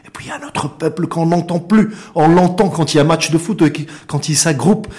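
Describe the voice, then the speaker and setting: A man's voice: a little high, fairly quick, a little mellow. A middle-aged man from Morocco with a Moroccan accent, speaking as an interview guest in an impassioned, emphatic way, repeating words for stress. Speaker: interview guest, founder and director of an organisation.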